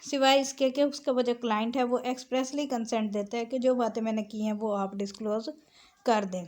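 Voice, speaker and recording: A woman with a fairly high voice delivers a lecture. She reads passages out deliberately, then explains them step by step. She moves back and forth between Urdu-Hindi and English as she teaches. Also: steady tone, darkish tone. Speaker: law lecturer.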